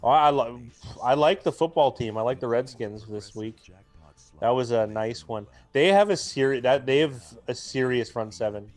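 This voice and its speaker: A young man with a booming voice, co-hosting a live football watch stream in rambling casual chat, mic clipped to his jacket. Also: moderate pace, soft background music. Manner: rambling, talkative, full of restarts and fillers. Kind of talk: casual chat and game commentary. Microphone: jacket used as mic mount.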